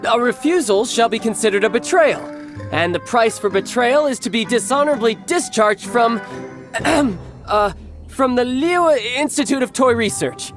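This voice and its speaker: Cocky young warrior, swooping delivery. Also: male voice, very high voice, expressive, normal speed, faint music behind. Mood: smug, commanding. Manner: smooth, teasing, theatrically formal. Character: cocky, playful young male warrior posing as toy salesman. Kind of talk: video game voice acting.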